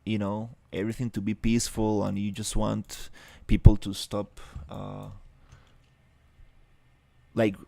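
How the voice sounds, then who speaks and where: A young man from Rica, chatting casually on a live stream, halting, with many fillers and restarts. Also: fairly low pitch, completely flat delivery, vocal fry, warm tone, dry recording. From Costa Rica. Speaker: livestreamer.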